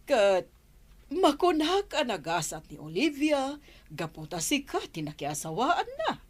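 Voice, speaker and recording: A woman's voice: a little high, big pitch swings, a little slow. A woman with a Filipino Ilocano accent playing an affectionate, grateful mother-in-law in a radio drama.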